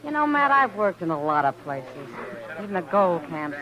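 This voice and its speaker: A woman's voice: lowish voice, big pitch swings, normal speed, highs cut off. An actress playing a frank, world-weary frontier saloon woman in a 1950s radio western, with a dry, plain-spoken manner. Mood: jaded, confiding. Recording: old radio recording, saloon crowd behind.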